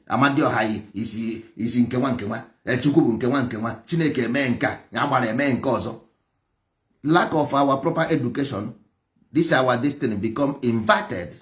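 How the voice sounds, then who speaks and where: A man with an Igbo Nigerian accent and Igbo as his first language, preaching and lecturing with passion, stressing points through repetition and rhetorical questions. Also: mid-range voice. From Nigeria, Igboland. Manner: emphatic, repeats phrases, rhetorical questions. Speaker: traditional religion teacher.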